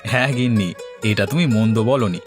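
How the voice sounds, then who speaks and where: A man's voice: fairly low pitch, a little fast, lightly acted. A man voicing a worrying, big-eating village husband and uncle in a cartoon. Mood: fretful, chatty. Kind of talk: cartoon dialogue. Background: instrumental music.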